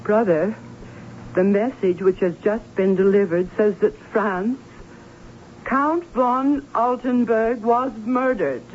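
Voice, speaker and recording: An actress playing a prim, anxious, fussy middle-aged aunt who tells her story in an old radio drama, with dramatic emphasis and deliberate pauses. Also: mid-range voice, steady tone, a little hiss or hum, close to an everyday voice. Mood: grave. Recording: dull vintage radio recording, faint music.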